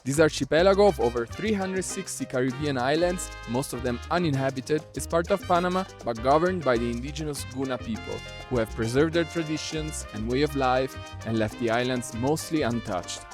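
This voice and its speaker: Calm man narrating over salsa music. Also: medium pitch. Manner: calm, measured, informative. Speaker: narrator of a travel video. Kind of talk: scripted travel narration.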